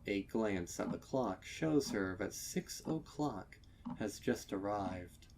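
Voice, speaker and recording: A man reading a scripted horror story as narrator, with deliberate, dramatic delivery. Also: fairly low pitch, lively intonation, vocal fry, noisy background. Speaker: narrator of fan fiction readings.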